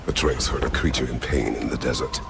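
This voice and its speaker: An actor playing a stern, laconic warrior father in a video game, gruff and formal in terse phrases. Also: rumbling low, fairly even intonation, fairly quick, noisy background. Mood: stern, measured. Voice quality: gravelly. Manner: terse, formal, without contractions. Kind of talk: video game cutscene acting. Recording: music behind the voice.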